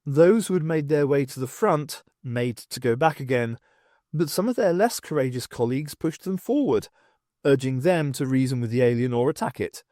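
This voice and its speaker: Expressive male audiobook narrator, very dry sound. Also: mid-range voice, normal speed, dry recording. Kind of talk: scripted narration of science fiction.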